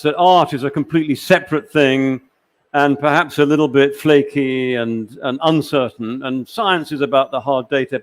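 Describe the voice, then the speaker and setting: Higher-pitched chatty male panel moderator. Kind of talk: live panel interviewing with anecdotes.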